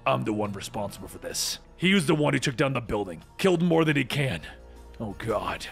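A man's voice: medium pitch, a little fast, gravelly, a bit bright, husky, soft background music, pitched below the performer's own voice, exaggerated voice. A man voicing a weary old male hero in a comic-book story, speaking in a grave, subdued manner. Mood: remorseful.

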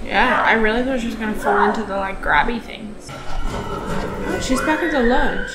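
High-voiced young woman reacting, roomy sound. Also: moderate pace. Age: young adult. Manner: excitable, easily startled. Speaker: gaming video co-host. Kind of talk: casual playthrough reaction chat. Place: indoor room, seated beside co-host. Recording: roomy, loud game music behind. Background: loud video game music.

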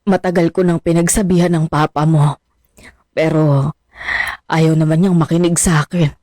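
A woman's voice: low-pitched, fairly even intonation, normal speed, dark tone, no room sound, clean studio sound, lightly acted. A woman acting a troubled, anxious middle-aged mother in a radio drama.

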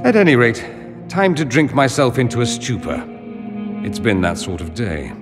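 An actor playing a wounded, proud mage in a fantasy game, wry and heartfelt. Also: male voice, medium pitch, very animated, normal speed, natural voice. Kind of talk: video game cutscene dialogue. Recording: loud music behind the voice.

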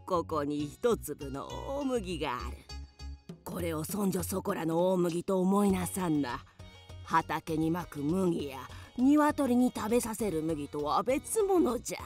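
A woman's voice: lowish voice, expressive, measured pace, darkish tone, soft background music. An actress playing a sly old witch in a radio drama, speaking like a crafty old crone. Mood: sly, mysterious. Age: older. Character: cackling, crafty old witch selling magic seed.

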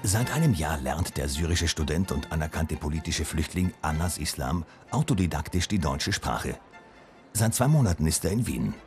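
A man reading a scripted news voice-over in a calm, even-paced delivery. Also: extremely low pitch, moderate pace, faint music behind. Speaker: reporter narrating.

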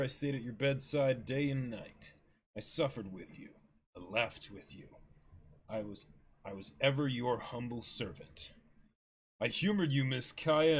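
A man's voice: medium pitch, slight background noise, close to an everyday voice. A man voicing a cold, bitter pirate villain posing as a mock-polite butler in a dramatic manga reading. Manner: slow, deliberate, mock-polite.

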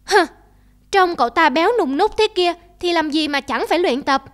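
A woman's voice: extremely high pitch, lively intonation, moderate pace. A woman voicing a lazy, cocky little antelope, childish and sulky, in a cartoon. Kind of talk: cartoon voice acting. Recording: loud music under the voice.

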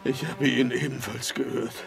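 Brooding father, gravelly voice. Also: medium pitch, flat delivery, moderate pace. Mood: pained. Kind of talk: period mystery radio play acting.

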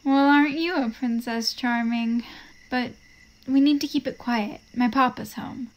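A woman voicing a gentle, proper, devout teenage girl from the 1950s in a comic dub, with a prim, composed manner. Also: sits high, steady tone, measured pace, rough recording. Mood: fond, encouraging.

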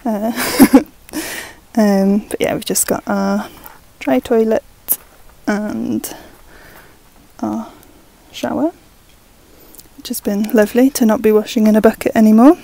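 A young woman giving a relaxed, chatty walkthrough of her land and barn, with plenty of fillers and soft laughs, recorded on an earphone mic outdoors. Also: medium pitch, slow, creaky, dry recording, rough recording. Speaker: vlogger. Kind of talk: casual walkthrough narration.

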